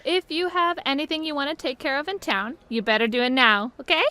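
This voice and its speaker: A woman playing an effusive, chatty caravan boss in a post-apocalyptic audio drama, friendly and brisk but watchful. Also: high-pitched, pushed and bright. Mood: bubbly.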